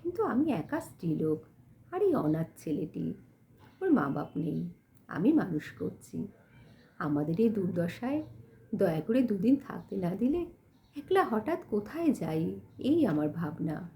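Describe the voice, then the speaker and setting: A woman voicing a poor, dignified young village woman who speaks softly and politely in an audio storybook. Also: medium pitch, big pitch swings, a little slow, creaky, pauses often, a little hiss or hum. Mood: pleading. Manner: soft, polite, pleading but composed. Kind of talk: acted dialogue in audio storybook.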